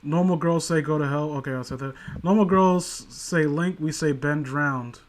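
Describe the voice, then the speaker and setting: Man, fairly high voice, sarcastic, crude humour, rambling casual commentary. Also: moderate pace, a little hiss or hum. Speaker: co-host of a comedic commentary show.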